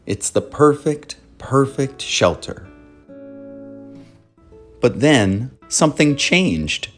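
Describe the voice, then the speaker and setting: A man hosting a children's read-aloud, narrating from a script in a measured, clearly phrased way. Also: mid-range voice, animated, faint music behind.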